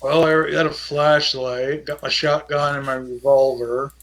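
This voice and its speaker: A man's voice: on the high side, level tone, normal speed, a bit bright. A man chatting casually as a player in a tabletop role-playing game. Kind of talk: casual chat.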